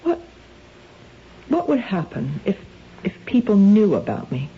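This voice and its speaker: A woman's voice: medium pitch, sing-song, breathy edge, heavily acted. An actress playing an anxious, troubled married woman with a secret, speaking hesitantly in a radio drama. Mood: anxious, probing. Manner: hesitant, halting. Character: anxious, troubled married woman hiding her compulsive stealing. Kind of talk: 1970s radio drama acting. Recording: dull lo-fi old radio recording.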